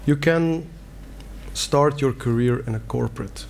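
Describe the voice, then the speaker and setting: A man's voice: medium pitch, unhurried, vocal fry, a little mellow, slight background noise. A middle-aged man from Belgium, a native Dutch speaker with a Belgian Dutch accent, giving an earnest conference talk in plain words with fillers and restarts. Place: conference hall.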